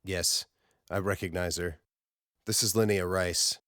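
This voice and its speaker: A man voicing a steady, commanding male space-mission leader in an audiobook, measured and matter-of-fact with a dry edge. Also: low-pitched, no echo. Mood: measured, concerned.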